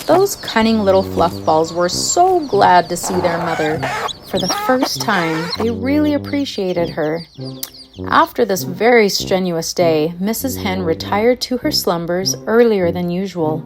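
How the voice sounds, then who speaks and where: A woman narrating a scripted children's fairytale with an expressive storybook delivery. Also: fairly low pitch, unhurried, noisy background. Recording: music behind the narration. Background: music.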